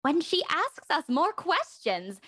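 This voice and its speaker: A woman's voice: very high voice, very animated, slow pace, pushed and bright, no room sound, studio quality, heavily acted. A young woman playing a spoiled, dramatic socialite in an audio drama. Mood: coy, uneasy. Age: young adult. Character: spoiled, indignant young actress playing a secretive socialite house guest.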